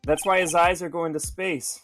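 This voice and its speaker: A woman streaming a game, chatting casually with excitable, joking, sweary delivery. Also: lowish voice, moderate pace, music in the background. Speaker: streamer playing a voiced game.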